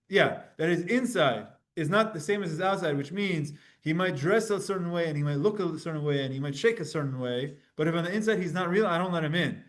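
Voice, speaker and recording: Animated high-voiced man teaching Talmud. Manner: animated, acts out quotes with sudden jumps in pitch and loudness; mixes Hebrew and Aramaic terms into casual English. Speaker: Torah teacher. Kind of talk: lively Talmud class lecture.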